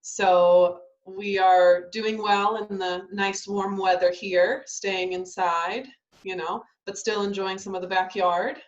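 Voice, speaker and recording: A young woman, a ballet dancer, chatting easily over a video call. She talks in a relaxed way, full of ums and restarts, at a middle pitch. The audio is slightly dull and lo-fi. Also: slow. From Italy, raised in Southern California.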